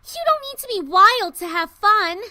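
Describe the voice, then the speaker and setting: A woman's voice: extremely high pitch, very animated, moderate pace, pushed and bright, no room sound, full character voice. A woman voicing a prim, earnest teenage schoolgirl in a visual novel. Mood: indignant.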